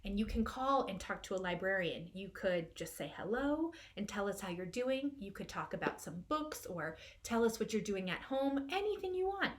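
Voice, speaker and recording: A woman's voice: medium pitch, very animated, normal speed, hardly any pauses. A woman hosting a children's storytime as a librarian, giving simple, encouraging instructions to young children. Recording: clearly noisy room recording.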